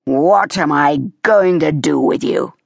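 A woman voicing a short, energetic father who scolds his daughter in an exasperated way. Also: low-pitched, slow, gravelly, no echo, studio quality, heavily acted. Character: short, brisk, fussing father, a dynamo of a man.

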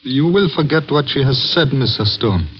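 An actor playing a courteous, guarded Chinese father in an old radio drama, speaking in formal, measured, cryptic phrases. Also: medium pitch, animated, breathy edge. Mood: stern, warning. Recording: muffled old radio recording, faint noise.

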